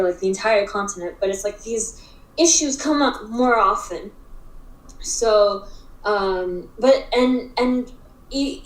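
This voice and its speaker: A young woman from Africa talks as an interview guest, her sentences stopping and restarting around frequent fillers. Her pitch sits in an ordinary middle range. The recording carries a noticeable room echo. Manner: halting, filler-heavy speech with frequent restarts. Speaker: interview guest, digital art expert. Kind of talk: casual conversational interview. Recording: roomy echo.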